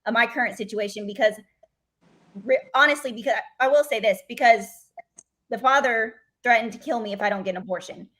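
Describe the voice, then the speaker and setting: A pregnant woman gives her candid account as a podcast guest. Her voice sits fairly high, and her manner is earnest and insistent, full of restarts and repeated phrases. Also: brisk, fairly bright tone. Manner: earnest, emphatic, many restarts.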